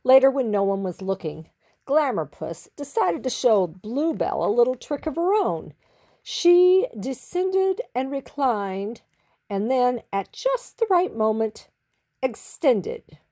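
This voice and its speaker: A woman reading a children's story expressively, with theatrical, drawn-out emphasis on key words. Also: on the high side, big pitch swings, warm tone.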